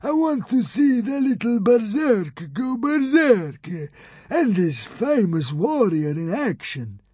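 A man's voice: very high-pitched, big pitch swings, slow, mellow, slightly rough, dry recording, voice pushed up, exaggerated voice. A man voicing a bored, languid, fat Roman consul in an audiobook, drawling as if yawning. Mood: bored amusement. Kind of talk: audiobook character acting.

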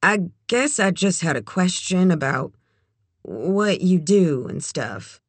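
A woman voicing a hesitant, naive, embarrassed young woman in audiobook dialogue, quiet and tentative. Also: on the low side, animated, takes it slowly, slightly rough, no echo, exaggerated voice. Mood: shy, embarrassed.

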